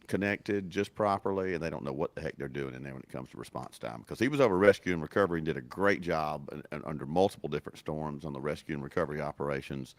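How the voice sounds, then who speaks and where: A man's voice: extremely low pitch, quick pace, hardly any pauses, dry recording. A man co-hosting a podcast, talking casually and emphatically, often repeating himself for stress. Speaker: podcast co-host. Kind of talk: casual chat.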